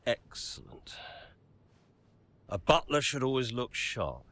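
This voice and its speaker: An actor playing a wealthy, middle-aged racing team owner in a video game cutscene, deliberate, commanding and smoothly persuasive. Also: male voice, mid-range voice, very animated, bright, forceful tone, no room sound, heavily acted. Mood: self-assured.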